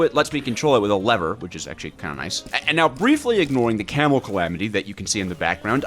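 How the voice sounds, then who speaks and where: Man, lively swooping pitch, gameplay narration, wry theatrical exasperation, light music behind. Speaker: video game player narrating his own playthrough. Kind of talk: scripted gameplay narration with dramatized reactions. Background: background music.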